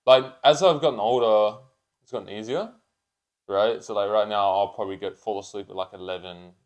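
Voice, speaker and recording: A man's voice: lowish voice, a little fast. A man in his twenties chatting casually and unscripted as a podcast co-host, with blokey, sweary, slangy talk. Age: 20s.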